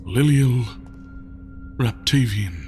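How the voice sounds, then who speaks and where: An actor playing a brooding, guilt-ridden reclusive scientist in an audio drama, weary, introspective and terse. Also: male voice, medium pitch, lively intonation, very slow. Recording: loud music behind the voice.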